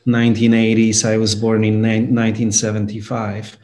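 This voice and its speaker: A man's voice: lowish voice, monotone, normal speed, a little mellow. A man in his forties from Poland, a priest answering interview questions in an earnest, thoughtful way. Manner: earnest, thoughtful, with many "you know"s. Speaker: priest and guest.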